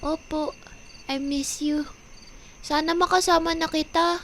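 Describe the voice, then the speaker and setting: A woman's voice: sits high, steady tone, a little slow, vocal fry, fairly bright tone, a little hiss or hum, heavily acted. A woman voicing a sick, brave young teenage daughter in a sentimental audio drama, tender and childlike, with a Filipino accent.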